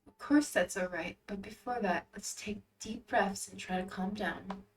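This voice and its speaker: A woman voicing a calm, patient young man in a story, softly reassuring his best friend. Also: mid-range voice, measured pace, recorded from a distance, natural voice. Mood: gentle concern. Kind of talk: acted story dialogue.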